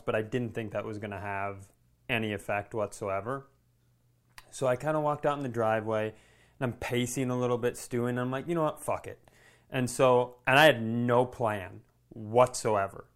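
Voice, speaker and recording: A man's voice: medium pitch, normal speed. A man hosting a comedy podcast, telling a story casually and animatedly, swearing freely and acting out the dialogue. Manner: animated, profane, acts out quoted dialogue.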